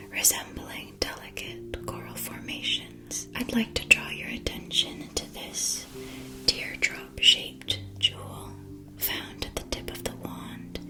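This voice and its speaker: Woman doing an elegant witch wand seller, asmr roleplay, soft breathy whisper, really slow and careful, gracious and formal. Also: medium pitch, natural voice.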